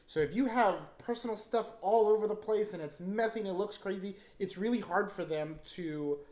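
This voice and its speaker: A man in his thirties co-hosting a casual advice chat, animated and joking, quick to laugh. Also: high-pitched, reverberant. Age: 30s. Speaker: real estate agent, co-host. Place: indoor room set, seated at a table.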